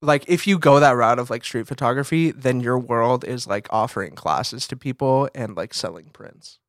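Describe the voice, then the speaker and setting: An American man co-hosting a casual conversation, telling stories in an animated, slangy way and peppering his talk with "like". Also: medium pitch, normal speed, dry recording, clean studio sound. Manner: animated storyteller, swears casually, frequent "like". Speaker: podcast co-host and photographer.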